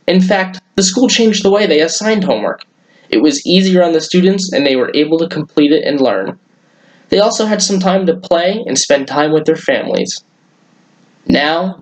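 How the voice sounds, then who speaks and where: Man with a higher-pitched voice reading to kids. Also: low-quality recording. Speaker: children's story-time host reading aloud. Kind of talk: read-aloud storytelling for children.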